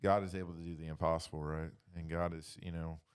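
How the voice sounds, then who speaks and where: A man leading a casual faith discussion, reflective and halting, often dropping in "you know". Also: a bass voice, level tone, clean tone.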